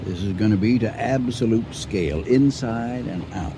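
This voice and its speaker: An actor playing a wry, skeptical older farmer husband with an American accent, dry and understated in old-time radio drama style. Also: male voice, on the low side, normal speed, lightly acted. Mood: grave, measured. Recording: noisy old radio broadcast recording.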